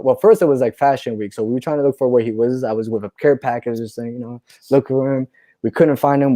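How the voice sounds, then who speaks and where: A man's voice: medium pitch, dry recording. Easygoing man telling a rambling story. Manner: relaxed, rambling storyteller, says "you know" and "right?" a lot. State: a little tipsy from wine. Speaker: clothing brand founder, guest. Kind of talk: casual conversation.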